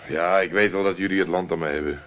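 Deep-voiced, guarded male investigator played by an actor. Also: steady tone, fast, slight background noise, natural voice. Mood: guarded, insistent. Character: dogged male private investigator, self-assured, guarded. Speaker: radio play actor. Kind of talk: radio drama acting. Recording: narrow-band, phone-like old radio recording.

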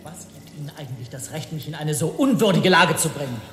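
An actor playing an indignant, agitated hotel guest who protests formally in a radio play. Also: male voice, a little high, lively intonation, fairly quick, fairly bright tone, reverberant. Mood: bitterly protesting. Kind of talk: German radio play acting.

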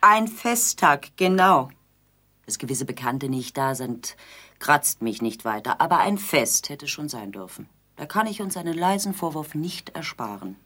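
A nagging bride in an absurdist radio play. Her voice is low and husky and swings widely in pitch as she hammers home her sardonic complaints with theatrical emphasis. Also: very animated, unhurried, fairly bright tone, full character voice. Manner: theatrical, pointed, sardonic repetition. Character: aggrieved, nagging newlywed bride on her wedding evening.

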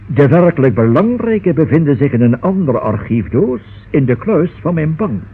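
Nervous forgetful solicitor, hesitant, very husky, lively intonation, old band-limited radio drama. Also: male voice, a little high, moderate pace, narrow, tinny audio, full character voice. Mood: flustered. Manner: hesitant, fussy, exclamatory. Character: fussy, nervous, forgetful male solicitor in his office. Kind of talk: radio play acting.

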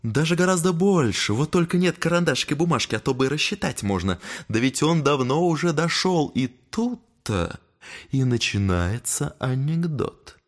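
An actor playing a mocking, talkative gentleman devil with ironic, theatrical storytelling in an audio drama. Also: on the high side, expressive, normal speed. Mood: playful, teasing.

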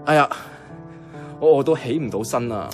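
Light music sits behind a young man of medium pitch played by an actor with a Hong Kong Cantonese accent. He is a soft-hearted, modest office worker in a radio drama. His way of talking is casual and colloquial. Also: animated, normal speed, natural voice. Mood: teasing, coaxing. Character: soft-hearted, self-effacing young male bank worker, secretly devoted.

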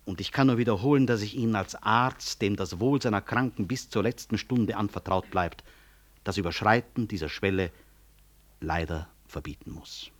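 A man acting in a 1960s radio drama as a middle-aged medical professor, courteous but unyielding, formal and precise in speech, with gentle irony. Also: fairly low pitch, moderate pace, lightly acted. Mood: firm, controlled.

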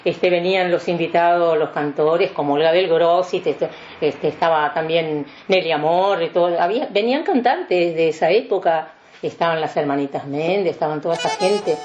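An older woman with a Uruguayan accent, a veteran announcer reminiscing in a radio interview in a halting, rambling way. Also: on the low side, darkish tone, lo-fi sound, slight background noise.

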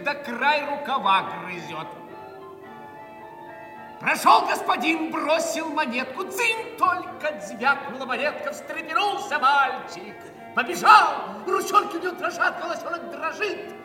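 An actor playing an anguished poor man, declaiming a theatrical monologue with great passion, swinging from hushed words to cries. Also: very high-pitched, big pitch swings, a little slow, heavily acted. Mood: anguished, impassioned. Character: anguished poor man bewailing a starving beggar child. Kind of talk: theatrical dramatic monologue in an audio play. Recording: echoey hall, distant mic, music behind.

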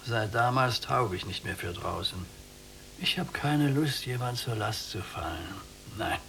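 An actor playing a weary, bitter old prisoner in a German radio drama, speaking in a gravelly, resigned and declamatory way. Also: male voice, medium pitch, expressive, unhurried, bright, forceful tone, slight background noise, heavily acted.

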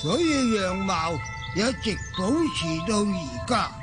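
Solemn old tribe shaman for radio drama, high pitched, really drawn out and theatrical. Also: big pitch swings, measured pace, over music. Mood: grave, insistent. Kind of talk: Cantonese radio drama acting.

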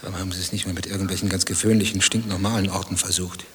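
Nosy pedantic stranger in radio satire, quick talker, lowish voice, dry needling questions. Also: male voice, flat delivery, a bit bright, a little hiss or hum. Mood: dry, pressing. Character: inquisitive, pedantic male stranger, probing and dryly needling. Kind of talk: dialogue-driven satirical radio play acting.

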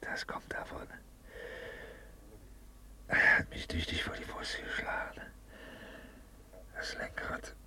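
An actor playing an anxious, irritable, self-important official in a 1950s radio play, muttering in clipped, peevish phrases. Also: male voice, takes it slowly, pauses often, pushed and bright, whispered. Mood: weary muttering. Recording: noisy vintage 1950s radio recording.

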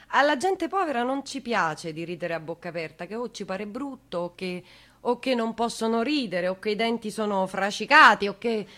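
A woman narrating as dramatic theatre, in halting short phrases. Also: mid-range voice, fairly bright tone. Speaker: actress and narrator.

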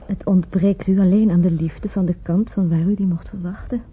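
A modest, devoted young nurse, in an old radio play. Her voice is very breathy, often nearly whispered, and the recording is dull. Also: on the low side, steady tone, normal speed. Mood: demure, moved. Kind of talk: radio drama acting.

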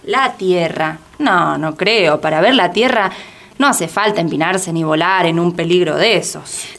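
A woman's voice: lowish voice, a little slow, fairly bright tone. A woman playing a naive, curious, easily flustered lady in a radio play, exclamatory and questioning. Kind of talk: radio theatre acting.

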